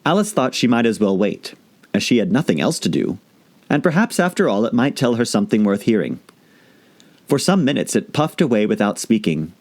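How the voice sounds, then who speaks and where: A man's voice: mid-range voice, darkish tone. A man reading a classic children's story aloud as scripted audiobook narration.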